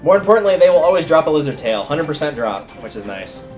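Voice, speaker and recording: A man's voice: a little high, sing-song, fast talker, darkish tone. A man giving casual live commentary on a video game, thinking aloud in colloquial language. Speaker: game livestreamer. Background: video game music.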